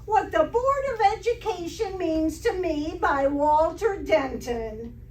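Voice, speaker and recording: A woman playing a witty, sardonic high school English teacher in an amateur stage re-creation of a radio comedy, with dry delivery. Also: lively intonation, slow pace, darkish tone, exaggerated voice. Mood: wry narration. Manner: dry, wry, sarcastic. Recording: roomy distant stage recording, faint noise.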